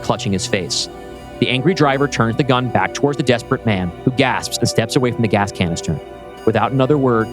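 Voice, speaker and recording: A man reading scene directions from a script as a podcast host. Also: fairly low pitch. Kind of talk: scripted narration of a screenplay. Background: music.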